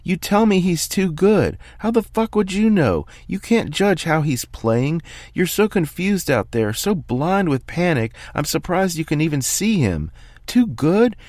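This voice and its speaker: A man doing a furious, yelling tennis coach. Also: on the high side, big pitch swings, measured pace, dry recording, studio quality, pitched above the performer's own voice. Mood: fierce. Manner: shouting, pleading and scolding. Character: furious male tennis coach, finally exploding.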